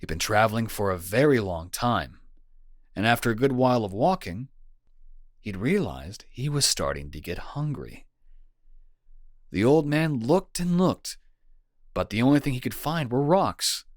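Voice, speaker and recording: Man acting as a caring, animated, teasing boyfriend. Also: medium pitch, measured pace, pauses often, no room sound, studio quality. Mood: playful storytelling. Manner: casual, playful, gently bantering. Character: caring, teasing young boyfriend nursing a sick partner. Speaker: audio roleplay performer. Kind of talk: intimate boyfriend roleplay comfort audio.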